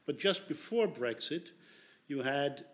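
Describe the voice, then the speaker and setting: A man from Austria in the audience, asking a speaker a question in a measured, careful way. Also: fairly high pitch, expressive, a little hiss or hum.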